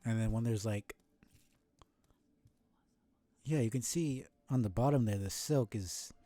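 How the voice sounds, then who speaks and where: A man's voice: medium pitch, normal speed, dark tone, no echo. A man streaming a video game with casual live commentary, musing aloud to himself and swearing now and then.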